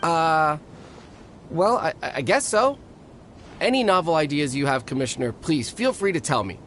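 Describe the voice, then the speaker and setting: An actor playing an earnest, eager food stall vendor in a video game, deferential and keen to explain. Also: male voice, on the high side, lively intonation, normal speed, fairly bright tone, slight background noise. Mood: hesitant, obliging. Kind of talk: video game character acting.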